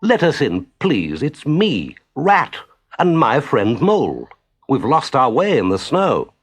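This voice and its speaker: A man voicing a good-natured, practical water rat in a children's story, brisk and emphatic. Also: mid-range voice, wildly swooping pitch, slightly rough, voice pushed up, exaggerated voice. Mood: urgent. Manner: brisk, emphatic, well-mannered.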